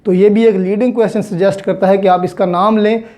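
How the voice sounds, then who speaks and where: A woman's voice: mid-range voice, steady tone, fairly quick, warm tone. A woman with a Pakistani accent teaches law in an explanatory lecture, mixing in English legal terms and restating key points didactically.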